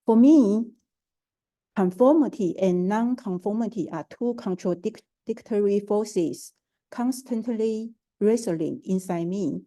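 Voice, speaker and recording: A middle-aged woman from Taiwan, speaking with a Taiwanese accent, gives a careful, halting talk as an artist and curator at an online forum, pausing and restarting often. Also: mid-range voice, warm tone. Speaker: artist, curator and art educator. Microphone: video call.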